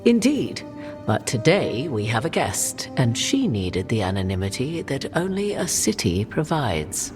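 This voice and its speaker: Haughty knowing fantasy game witch, arch formal talk, very lively pitch, loud music under it. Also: unhurried, heavily acted. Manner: formal, arch, theatrical diction. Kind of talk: video game cutscene acting.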